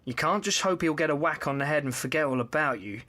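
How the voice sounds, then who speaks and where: An actor playing a polite, flustered alien in an audio drama, with a hesitant, stammering manner. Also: male voice, fairly high pitch, expressive, fast talker, sharp tone, a little hiss or hum. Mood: uneasy warning. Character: polite, flustered, time-sensitive male alien in discomfort.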